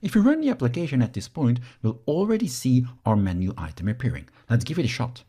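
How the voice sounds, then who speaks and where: A man from Belgium, a native Dutch speaker, explains each step as an instructor in a software screencast tutorial. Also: mid-range voice, very animated, fast, breathy edge.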